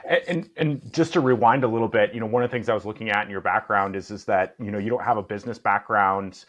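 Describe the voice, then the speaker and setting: A man hosting a podcast, asking his guest questions in a hesitant, halting way. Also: fairly low pitch, a bit bright. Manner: hesitant, many fillers and restarts. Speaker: podcast host. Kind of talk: interview questions.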